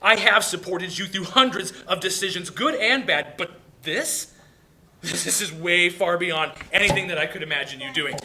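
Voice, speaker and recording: An actor's frustrated young brother, bright edgy voice. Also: medium pitch, normal speed, slight background noise, rough recording. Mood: angry, exasperated. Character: frustrated young man, protective brother, hurt and blunt. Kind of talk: dramatic play reading.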